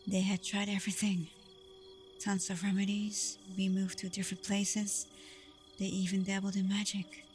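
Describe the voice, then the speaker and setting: A woman playing a tender, protective vampire girlfriend in an intimate ASMR roleplay, speaking softly and breathily with a hesitant, reassuring manner. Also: mid-range voice, completely flat delivery, normal speed, soft background music, natural voice. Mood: wistful, reflective. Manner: soft, breathy, hesitant, reassuring, often dropping toward a whisper. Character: tender, protective young female vampire girlfriend, secretive and self-doubting.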